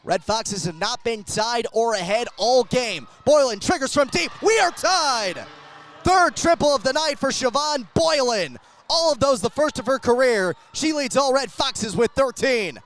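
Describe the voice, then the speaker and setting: A man doing radio play-by-play sports commentary in clipped running descriptions, ready to burst into excited calls. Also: extremely high pitch, unhurried, slight background noise.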